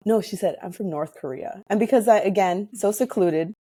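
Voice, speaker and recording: A woman's voice: mid-range voice, normal speed, warm tone, husky, no echo. A woman from Hawaii with an American accent, chatting as an interview guest and retelling personal stories with quoted dialogue. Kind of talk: casual personal storytelling in conversation.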